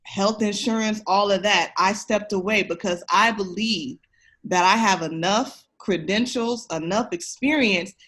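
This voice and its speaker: A woman's voice: medium pitch, measured pace, a bit bright. Emphatic, encouraging woman in conversation. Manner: emphatic, encouraging, speaks directly to the listener. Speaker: podcast guest, education researcher. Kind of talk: conversational podcast interview.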